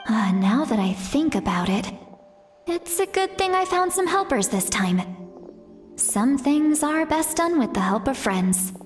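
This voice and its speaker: Casting: centuries-old shaman with a youthful, highish voice, confident, breezy, prone to fretting, game music underneath. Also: very animated, unhurried. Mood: pleased. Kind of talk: video game character acting.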